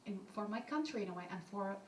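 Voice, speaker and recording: A woman whose first language is Arabic, an author speaking on a panel in a halting, reflective way. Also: mid-range voice, animated, far from the mic, slight background noise. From Palestine. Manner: halting, reflective, many restarts. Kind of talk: panel discussion. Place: event hall with audience. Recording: roomy hall miked from afar.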